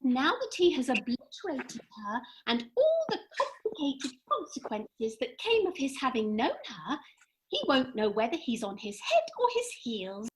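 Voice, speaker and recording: A woman's voice: high-pitched, huge pitch swings, unhurried, heavily acted, rough recording. A woman playing an airy, matter-of-fact fairy in a comic radio play, breezy and arch, recorded over a home video call. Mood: amused, pitying.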